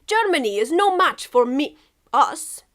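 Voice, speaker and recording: Woman putting on a drunk Russian man, pitched up. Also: very animated, a little slow, fairly bright tone, heavily acted. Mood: defiant. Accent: attempted Russian. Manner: drunken, declarative. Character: drunk Russian man, bold, defiant, slow and calm.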